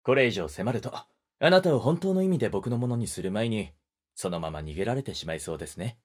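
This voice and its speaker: An actor playing a polite, gentlemanly young wedding photographer who speaks softly and teases the listener in an audio drama. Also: male voice, on the low side, expressive, brisk, husky. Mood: teasing, intimate. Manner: soft-spoken, polite, teasing.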